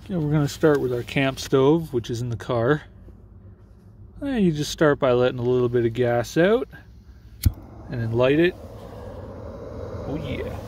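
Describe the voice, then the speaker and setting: A man's voice: medium pitch, normal speed, pauses often, a little mellow, slight background noise. A young man filming himself cooking on a camp stove inside a parked car, rambling and joking at himself as he goes, with the phone close by. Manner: rambling, self-mocking, offhand. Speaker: vlogger cooking on camera. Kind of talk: casual joking how-to vlog. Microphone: phone held or propped nearby. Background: sizzling camp stove.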